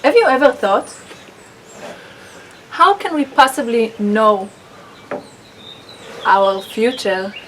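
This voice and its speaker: Woman from Israel, halting speech in a roomy space. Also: fairly high pitch, sing-song, a bit bright, slight background noise. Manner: halting, with restarts and non-native grammar slips. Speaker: public speaking student. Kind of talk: rehearsing a personal speech. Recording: roomy, distant mic, background ambience.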